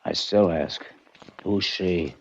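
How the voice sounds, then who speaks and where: An actor playing a gruff, sarcastic police lieutenant in a 1940s radio drama, brusque and needling. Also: male voice, deep voice, slow pace, exaggerated voice. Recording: muffled 1940s radio transcription.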